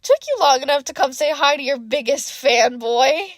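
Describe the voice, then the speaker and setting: A tipsy, flirtatious man in an audiobook story read by a woman. He teases with cocky ease. The voice sits on the high side and is recorded dry. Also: animated, normal speed, no echo. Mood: teasing, tipsy. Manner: teasing, slightly slurred.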